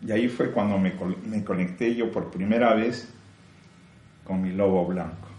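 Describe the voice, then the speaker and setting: Male spiritual teacher, low voice, echoey room, slow emphatic delivery, rhetorical questions. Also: a little mellow. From Peru. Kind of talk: spiritual teaching talk to a group.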